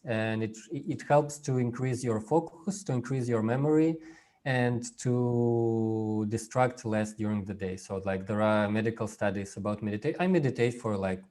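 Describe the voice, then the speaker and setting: A man whose first language is Russian gives an informal workshop over a video call, speaking haltingly with many fillers and restarts. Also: fairly low pitch, a little mellow. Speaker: workshop presenter. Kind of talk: informal online workshop talk.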